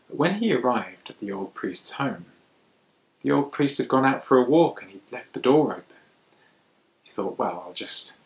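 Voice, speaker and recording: A man narrates folktales for a young audience. His delivery is theatrical and animated, moving between dramatic pauses and hushed asides. His pitch sits in a medium male range. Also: low-quality recording. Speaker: storyteller.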